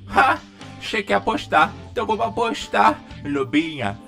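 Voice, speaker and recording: A man doing a cocky, animated alligator rocker. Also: sits high, normal speed, gravelly, soft background music, exaggerated voice. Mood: cocky, taunting. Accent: Brazilian. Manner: boastful, swaggering, taunting. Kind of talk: cartoon fan-dub voice acting.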